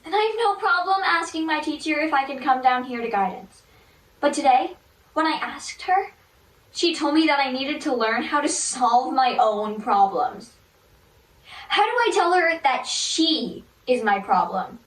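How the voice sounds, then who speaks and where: A woman playing a bullied teenage girl pleading with her counselor in a hushed, breathy voice. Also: high voice, expressive, unhurried. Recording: roomy, from far off.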